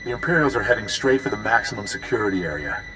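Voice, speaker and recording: An actor playing a grave, dutiful military commander of a prisoner unit in a video game, speaking earnestly and formally. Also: male voice, lowish voice, animated, fast, natural voice. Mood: grave, resolute. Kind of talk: video game dramatic dialogue. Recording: long reverb, loud music underneath.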